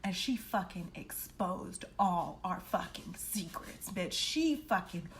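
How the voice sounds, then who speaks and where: A woman casually telling a personal story in an animated, slangy, heavily profane way, acting out the dialogue as she goes. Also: lowish voice, slow, a little hiss or hum. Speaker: storytime vlogger.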